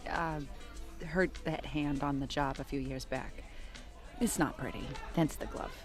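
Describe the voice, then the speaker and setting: Low female voice, guarded police detective, terse, dry, audio drama, faint music behind. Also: fairly even intonation, measured pace. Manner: terse, understated.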